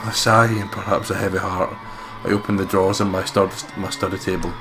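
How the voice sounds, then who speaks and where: A man reading a visual novel aloud dramatically as a let's play host, swearing and breaking into excitable joking commentary, with game music behind him. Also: deep voice, fast talker. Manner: excitable, sweary, jokey ad-libs.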